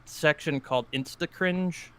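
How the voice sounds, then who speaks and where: A man's voice: a little high, measured pace, sharp tone, clean tone, dry recording. A man co-hosting a casual podcast, congested and nasal, teasing his co-host in a dry, sarcastic way. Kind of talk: casual chat.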